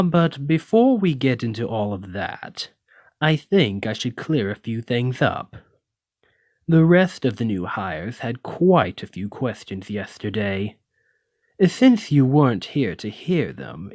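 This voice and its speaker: A man's voice: on the low side, no echo, full character voice. A man playing a haughty, menacing lamia noble in an ASMR roleplay, speaking with condescending, theatrical, aristocratic deliberation. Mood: proud, explanatory. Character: haughty, menacing male lamia noble, wealthy retired merchant. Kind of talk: ASMR roleplay addressed to the listener.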